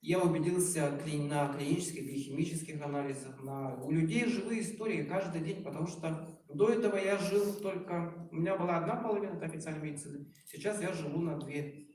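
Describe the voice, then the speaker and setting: A man in his forties giving an informal promotional talk as a doctor, speaking in a halting, emphatic and self-ironic way, recorded from a distance in a room. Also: on the high side, a little mellow. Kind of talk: informal public testimonial talk. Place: echoey indoor presentation room. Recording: very echoey room, far microphone, noisy.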